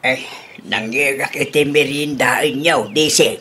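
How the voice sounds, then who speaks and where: A woman's voice: rumbling low, a little slow, bright, forceful tone, a little hiss or hum, exaggerated voice. A woman voicing an apologetic, henpecked older man who grumbles resignedly in a radio comedy drama. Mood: sheepish.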